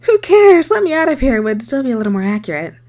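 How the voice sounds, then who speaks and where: A woman playing an unstable, lovesick young circus girl turned kidnapper in an intimate ASMR roleplay, speaking haltingly in a breathy voice that slips into whispers. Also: sits high, big pitch swings, a little mellow. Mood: desperate, pleading. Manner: intimate, halting, stammering, swinging from sweet to desperate. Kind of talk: scripted ASMR roleplay monologue.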